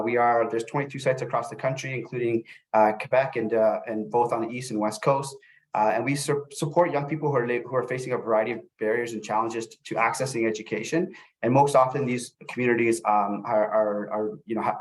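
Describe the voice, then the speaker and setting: A middle-aged man, born in El Salvador and raised in North America, giving an informal webinar presentation in a halting way, often repeating words as he goes. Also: medium pitch, poor audio. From born in El Salvador, grew up in North America. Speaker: webinar presenter, youth services manager.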